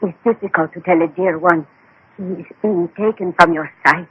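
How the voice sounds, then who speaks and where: A performer playing the protective, pleading sibling of a fortune teller in a radio drama, speaking formally and gently. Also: mid-range voice, moderate pace, slightly rough, narrow, tinny audio. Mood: pleading, anxious. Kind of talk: 1970s-80s radio drama acting. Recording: noisy old radio broadcast with clicks.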